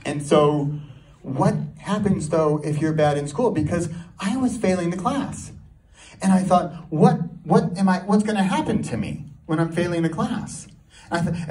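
A man performing a stand-up comedy set, telling a story in an animated way and throwing rhetorical questions at the audience. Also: a little high. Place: live comedy show before an audience. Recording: live room, distant mic, audience noise. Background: audience crowd and laughter.

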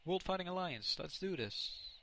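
A young man from the Netherlands with a Dutch accent, casually commentating his own video game match, excitable and often correcting himself. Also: high voice, normal speed, creaky, noisy background. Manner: excitable, self-correcting, reacts loudly to plays. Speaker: gaming commentator. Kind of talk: casual let's play commentary.

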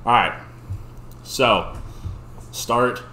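A man's voice: medium pitch, very slow, pushed and bright. A man in his thirties hosting a casual unboxing video, chatty and laughing often. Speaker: channel host. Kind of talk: casual chat while unboxing mail. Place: dim home room with gaming chairs. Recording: echoey room with faint noise.

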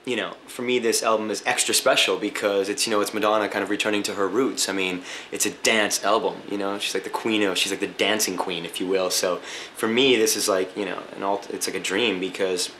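A young man chats in an interview as a choreographer. His voice is deep and quite flat in pitch, with faint noise behind it. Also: brisk, poor audio. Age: young adult. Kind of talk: casual documentary interview.

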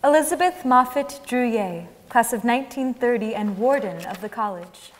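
A woman's voice: fairly high pitch, animated, moderate pace. A woman announcing in a formal, scripted style as narrator of a staged reading. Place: large indoor concert hall stage. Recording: reverberant concert hall stage recording.

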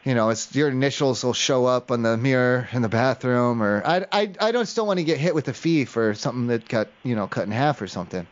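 A man talks quickly in a mid-pitched voice, rambling and hedging as he improvises a prank call. The audio is dull and lo-fi, and his manner stays hesitant and evasive. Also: lively intonation. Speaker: prank caller.

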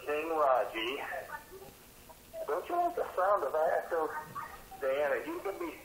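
A man leaving a rambling, grandiose voicemail, halting and repeating himself in disjointed phrases. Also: on the high side, wildly swooping pitch, fairly bright tone. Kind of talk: rambling phone message monologue. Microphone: telephone voicemail, played back. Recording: hissy, echoey voicemail over phone.

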